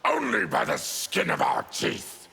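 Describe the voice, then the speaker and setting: Monstrous exiled warrior, growling fry, slow and forceful, swooping pitch, fighting game. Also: male voice, huge pitch swings, full character voice. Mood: bitter, proud. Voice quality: gravelly, growling. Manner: menacing, deliberate. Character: fierce diseased mutant warrior with blades, proud, embittered exile. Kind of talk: fighting game character dialogue.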